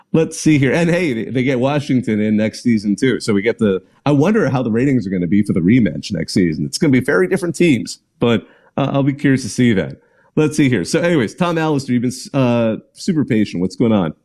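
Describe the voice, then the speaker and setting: A man's voice: medium pitch, a little mellow. A man hosting a live call-in sports show, chatting casually and rambling with restarts and small laughs. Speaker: podcast host.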